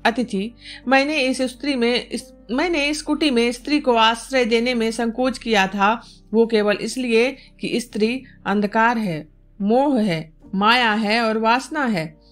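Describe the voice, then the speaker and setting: A woman voicing a stern, composed young male yogi in a storybook reading, with grave, deliberate, formal pronouncements. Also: fairly high pitch, natural voice. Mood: stern, reserved. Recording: music bed behind the voice.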